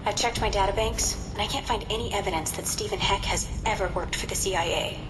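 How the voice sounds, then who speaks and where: An actress playing a composed, caring young female intelligence handler in a video game, calm and assured. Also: medium pitch, fast talker, background noise, close to an everyday voice. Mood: calm, teasing. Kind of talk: dramatic video game dialogue.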